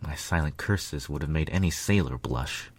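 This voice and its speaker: A man narrating a story in the first person with a measured, earnest, dramatic delivery. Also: a bass voice, breathy edge, dry recording, studio quality.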